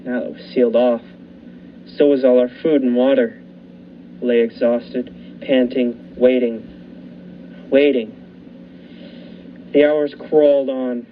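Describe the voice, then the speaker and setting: A man playing a haunted lighthouse keeper who narrates a radio thriller with dramatic, deliberate pauses. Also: medium pitch, level tone, close to an everyday voice. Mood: grim, tense. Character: haunted lighthouse keeper recounting his ordeal. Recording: muffled lo-fi recording with faint noise.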